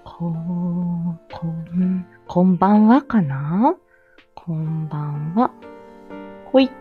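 A woman's voice: lowish voice, very slow, narrow, tinny audio, soft background music. A woman hosting a casual solo livestream chat, rambling along in a self-effacing, giggly manner. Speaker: livestream host, speech-language therapist.

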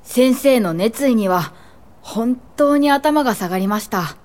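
A woman's voice: fairly high pitch, lively intonation, measured pace, darkish tone, a little hiss or hum. A woman playing a respectful, admiring young assistant who narrates and acts in a radio drama. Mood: admiring, reflective. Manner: polite, respectful.

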